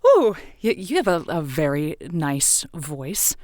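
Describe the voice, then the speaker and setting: A woman plays a waffling radio advice host who hedges, stammers and restarts, eager to please every caller. Her voice sits a touch low, recorded close and dry. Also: very animated, slow pace, a little mellow, no echo, exaggerated voice. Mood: flustered. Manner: hesitant, stumbling and restarting. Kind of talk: improvised audio drama.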